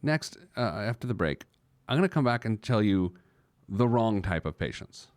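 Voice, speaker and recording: A man's voice: lowish voice, big pitch swings, moderate pace, dry recording. A man hosting a personal finance show in a casual, playfully digressive, self-mocking way, repeating phrases for emphasis. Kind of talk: casual talk show monologue with some letter reading.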